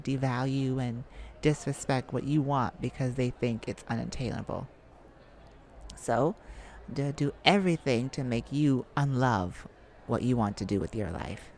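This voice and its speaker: A woman voicing a bashful, flirtatious male lounge singer in a soft, hesitant, intimate ASMR roleplay. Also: a bass voice, a little hiss or hum. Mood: wounded, confiding.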